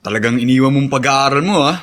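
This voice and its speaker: Actor doing a young filipino guy pal, supportive, talks fast, radio drama. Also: medium pitch, fairly bright tone, slight background noise, close to an everyday voice. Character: admiring, supportive young male buddy.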